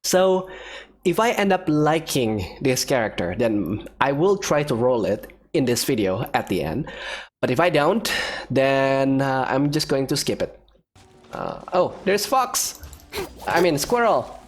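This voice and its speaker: A man giving casual let's-play commentary as a video game streamer, thinking aloud in a halting way. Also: medium pitch, sing-song. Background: faint game music.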